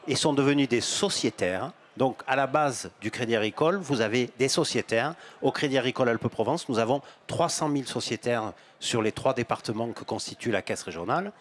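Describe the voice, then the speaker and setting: A man in his fifties, a bank executive, giving measured explanatory answers in an interview at a fair stand, with some hesitations and repeated words. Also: medium pitch, a little hiss or hum. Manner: gestures broadly while talking. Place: outdoor stand at an agricultural fair, on a sofa. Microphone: handheld microphone.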